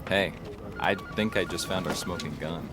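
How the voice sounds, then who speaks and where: An eager informant passing on a lead in an audio drama, voiced by a man. His voice is very deep. Loud music nearly drowns him out, with traffic sounds underneath. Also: moderate pace, natural voice. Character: male investigator contact, eager informant.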